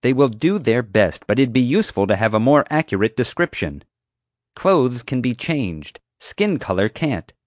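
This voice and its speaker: A man acts a skeptical emergency dispatcher in a story. The delivery is clipped and official, raised in pitch with varied intonation, in a dry recording. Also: expressive, moderate pace, no room sound, lightly acted. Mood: curt. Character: curt, skeptical, easily annoyed emergency dispatcher.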